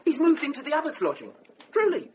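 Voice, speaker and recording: A man's voice: very high-pitched, huge pitch swings, quick pace, slight background noise, heavily acted. A male actor playing a young, gossipy novice monk in a radio drama, eager and indignant. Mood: excited indignation.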